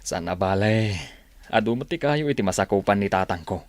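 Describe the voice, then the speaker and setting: A man's voice: fairly low pitch, lively intonation, fairly quick, dry recording, lightly acted. A man with an Ilocano accent playing a practical, caring village father in a radio drama. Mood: planning.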